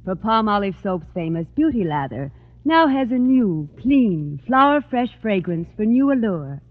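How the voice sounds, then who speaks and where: A man's voice: sits high, big pitch swings, unhurried. A man reading a scripted 1950s radio advertisement as an emphatic, polished commercial announcer. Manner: emphatic, polished sales delivery. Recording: thin, phone-like vintage radio recording.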